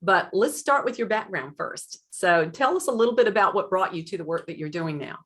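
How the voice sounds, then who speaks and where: A woman's voice: medium pitch, expressive, fairly quick, fairly bright tone. A middle-aged woman hosting an interview over a video call, chatty and friendly, with long lead-in questions. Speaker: podcast host. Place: home room with a light blue wall. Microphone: video call webcam.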